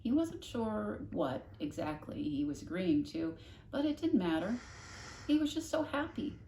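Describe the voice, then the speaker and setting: A woman reading a children's novel aloud expressively, with dramatic, deliberate emphasis. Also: medium pitch, very animated, dark tone. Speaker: storybook reader, classroom host. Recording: echoey room, faint noise.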